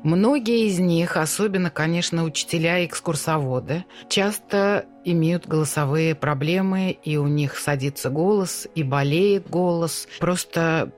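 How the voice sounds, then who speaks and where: A woman's voice: sits low, a little slow, hardly any pauses, faint music behind. A woman who teaches stage speech, talking in a radio interview and demonstrating voice techniques as she explains them.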